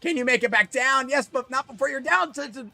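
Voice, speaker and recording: A man giving solo live commentary on a fighting game tournament, excitable and jumping to high shouts at big moments, his voice a little worn, from a small closet. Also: fairly quick, gravelly, soft background music. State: losing his voice. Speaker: esports commentator.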